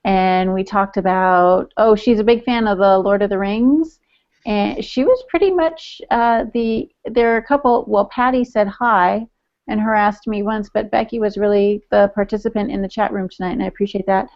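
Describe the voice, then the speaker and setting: A woman's voice: mid-range voice, moderate pace, a little mellow. American woman, dull video-call sound. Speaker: podcast co-host relaying chat room. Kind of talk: casual chat. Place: small room at home. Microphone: earbud headset on video call.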